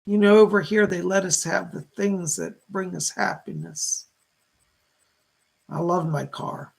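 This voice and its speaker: Weak, gravelly male spirit, channeled by a man. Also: sits high, lively intonation, exaggerated voice. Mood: earnest, wondering. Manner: halting, earnest, tender. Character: Thomas, weak-voiced remorseful male spirit of a loved one. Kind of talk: spiritualist trance mediumship.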